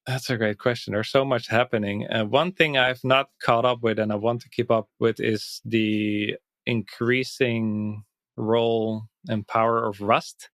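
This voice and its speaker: A Dutch man, a native Dutch speaker, talking in English as a podcast guest, reflective and thinking aloud with soft laughs. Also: mid-range voice, little pitch movement, a little slow, no room sound. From Netherlands. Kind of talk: casual interview chat.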